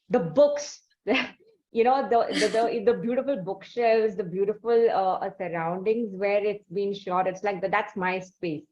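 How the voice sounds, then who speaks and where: A woman hosting an interview in a middle-pitched voice. She talks effusively and at length, looping back with fillers. The audio sounds dull and lo-fi. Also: a little mellow. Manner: effusive, rambling, many fillers. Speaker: podcast host. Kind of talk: conversational interview.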